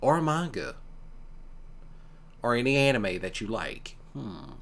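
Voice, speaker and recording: A man's voice: mid-range voice, big pitch swings, a bit bright. A man playing an easygoing, affectionate country boyfriend in an ASMR roleplay, chatting casually and teasingly with his sweetheart. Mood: amused, curious. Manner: casual, teasing, folksy, with pauses. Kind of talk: ASMR boyfriend roleplay, one-sided conversation.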